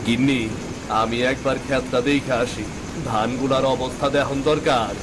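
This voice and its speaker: A man's voice: mid-range voice, steady tone, moderate pace, noisy background, close to an everyday voice. Man voicing a fretful village farmer dad. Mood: worried. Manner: earnest, fretful rural speech. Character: warm-hearted poor middle-aged farmer father. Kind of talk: cartoon voice acting. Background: background music.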